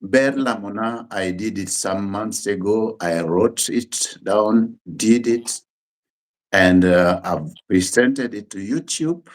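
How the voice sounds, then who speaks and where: A middle-aged Kenyan man, a Luo speaker, talks as a guest on a casual show. His voice sits a little low. He speaks in plain, unhurried sentences and often pauses or restarts a phrase. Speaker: musician and pastor guest. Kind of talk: casual interview chat.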